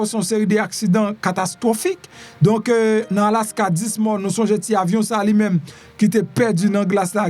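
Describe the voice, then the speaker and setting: A man with a high-pitched voice and a Haitian accent comments on the news in an informal, conversational way. He repeats phrases and throws out rhetorical questions, with music playing behind him. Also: darkish tone. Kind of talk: informal news commentary in Creole with some French and English.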